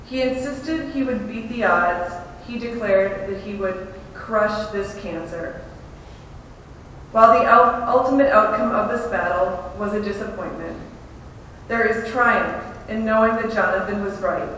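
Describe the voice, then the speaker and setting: A woman delivering a prepared memorial tribute in a measured, deliberate way with emphatic pauses. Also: fairly bright tone. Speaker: widow giving a memorial tribute. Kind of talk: prepared eulogy. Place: large echoing hall at a memorial service. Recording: very echoey hall, distant, faint rumble.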